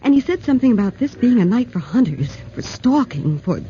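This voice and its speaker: A woman's voice: on the high side, sing-song, a little fast, warm tone. An actress playing a plucky, jittery young American woman in a 1940s radio drama, slangy and given to breathless worrying. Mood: worried, urgent. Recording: muffled, noisy 1940s radio recording.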